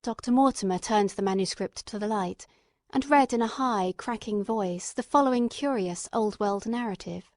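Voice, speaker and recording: A woman narrates classic fiction in a scripted, literary style. Her voice sits at an average female pitch, and the sound is close and dry. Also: moderate pace, a little mellow, dry recording. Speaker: audiobook narrator.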